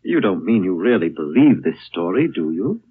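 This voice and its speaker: Low-voiced sceptical gentleman. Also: sing-song, moderate pace, husky, no room sound, heavily acted. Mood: incredulous, curious. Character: sceptical, curious gentleman listener. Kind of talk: 1930s radio acting. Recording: tinny, dry 1930s radio broadcast.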